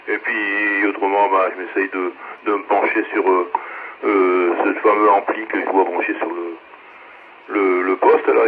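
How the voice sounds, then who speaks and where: A low-voiced man speaks in a halting, colloquial way over a two-way radio. The sound is thin and phone-like, with a little noise. It is casual operator chat. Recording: tinny radio relay, faint noise.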